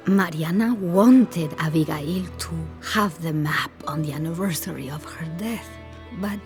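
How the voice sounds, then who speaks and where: Slow, low-voiced kindly older village woman. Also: over music. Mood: wistful. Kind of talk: video game cutscene acting.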